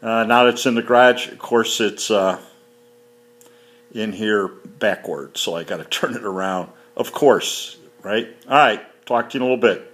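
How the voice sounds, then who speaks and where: Man, fairly low voice, rambling, matter-of-fact, lots of fillers, home garage, phone camera. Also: normal speed, fairly bright tone. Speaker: home mechanic reviewing a tool. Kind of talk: casual unscripted video walkthrough.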